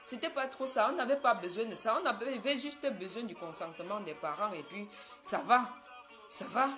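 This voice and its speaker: Brief: woman, Yemba speaker, live stream host, chatty, mid-pitched and bright. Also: animated, moderate pace, soft background music. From Cameroon. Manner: chatty, speaks straight to viewers. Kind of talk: casual live stream talk.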